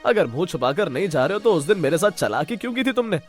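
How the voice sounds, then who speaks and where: A man's voice: sits high, big pitch swings, quick pace, faint music behind. A man voicing a stubborn, brash village freeloader in a cartoon story, arguing in exclamations. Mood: indignant. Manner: argumentative, exclamatory.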